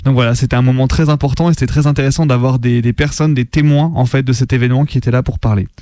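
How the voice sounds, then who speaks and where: A man co-hosting a casual live radio show, speaking haltingly as he searches for his words. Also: medium pitch, steady tone, dark tone, dry recording. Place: radio studio.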